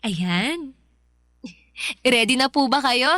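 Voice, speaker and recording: An actress playing an eager, playful young woman in a radio drama, with soft music behind. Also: high-pitched, animated, slow pace, no room sound, clean studio sound, heavily acted. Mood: playful excited.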